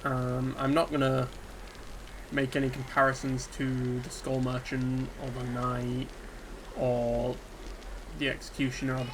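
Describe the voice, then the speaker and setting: A man giving an unscripted, subdued and hesitant rant about a game, sounding dejected and pausing often on ums. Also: medium pitch. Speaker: gaming video creator. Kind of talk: unscripted solo rant to camera. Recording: steady background ambience hiss.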